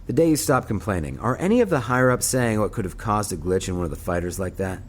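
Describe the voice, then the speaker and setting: A man playing a steady, dry starship captain in an audio drama, brisk and matter-of-fact. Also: fairly low pitch. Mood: commanding.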